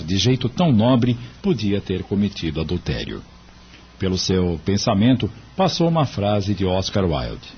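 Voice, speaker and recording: A Brazilian man narrating an audio drama in a scripted, dramatic style. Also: on the low side. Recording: muffled lo-fi audio, clear background noise.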